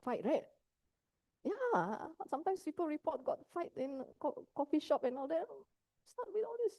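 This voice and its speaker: A woman's voice: high voice, big pitch swings, moderate pace, warm tone, no room sound. A woman from Indonesia, a psychologist giving a workshop talk on mental health, often checking in with her listeners as she explains.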